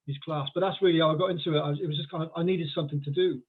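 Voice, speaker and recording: A man's voice: fairly high pitch, fairly even intonation, rapid-fire, husky. A man in his forties with a mixed Welsh and southeast English accent, talking as a podcast guest in a chatty, rambling way full of fillers and restarts. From Wales. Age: 40s. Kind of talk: casual podcast conversation. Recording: tinny phone-like call audio.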